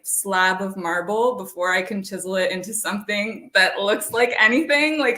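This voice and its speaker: A woman answers interview questions in a chatty, informal way. Her mid-pitched voice comes over a call from a very echoey room. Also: sharp tone. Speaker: guest playwright. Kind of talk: casual conversational interview answers.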